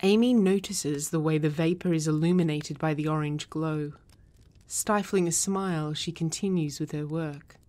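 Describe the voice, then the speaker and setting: A woman reading stage directions as scripted narration, in a measured, descriptive manner. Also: deep voice, fairly even intonation, dark tone.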